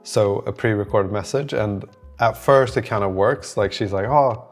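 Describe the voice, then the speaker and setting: A man with a fairly low voice records a casual developer vlog. He thinks aloud, rambling and hesitating as he goes, with faint music in the background. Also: moderate pace. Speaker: app developer, vlog host.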